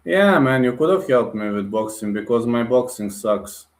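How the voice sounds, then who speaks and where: A man talks straight to his livestream viewers, calling them guys and answering their comments bluntly in English. His voice sits at an ordinary middle pitch, and a roomy echo hangs around every line. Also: expressive, moderate pace. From Bulgaria. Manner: direct, blunt, addresses viewers as guys, non-native phrasing. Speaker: livestream host.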